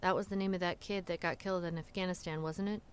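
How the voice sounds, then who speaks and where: An actress playing a worried, exasperated adult daughter in an audio drama, direct and insistent. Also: female voice, on the low side, fairly even intonation, fast, clean tone, natural voice.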